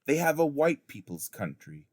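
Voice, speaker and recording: A man's voice: on the high side, very animated, moderate pace, dry recording, studio quality, pitched above the performer's own voice. A man voicing a captive African man in a storybook who plainly explains their grim fate to a boy. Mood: grave, explaining.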